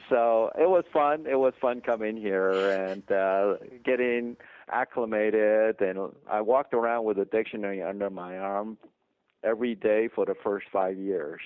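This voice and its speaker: A man's voice: on the low side, a little slow, smooth, no room sound. A middle-aged man from Taiwan with a Taiwanese accent, a dentist explaining things emphatically in an interview. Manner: emphatic, explanatory, chuckles often. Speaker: dentist guest. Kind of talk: interview conversation. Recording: dry tinny phone line.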